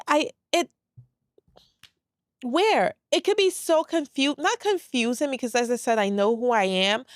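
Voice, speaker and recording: Solo podcast host, woman in her 30s, Brooklyn accent with a light Saint Lucian trace, candid and laid back, smooth clear voice, dry close sound. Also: a little high, no room sound. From Saint Lucia, raised in Brooklyn, New York. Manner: candid and laid back, lots of "like" and "very much so", swears freely. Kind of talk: casual solo podcast monologue.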